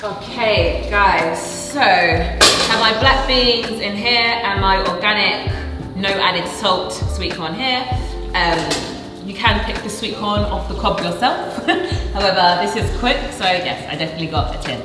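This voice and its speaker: A woman's voice: medium pitch, a little slow. A young woman casually walking through a recipe in a large kitchen, chatty and playful, recorded from a distance. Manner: chatty, playful, laughs often. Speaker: co-host of a cooking video. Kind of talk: casual cooking demonstration. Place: large indoor kitchen studio. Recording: echoey room with music behind.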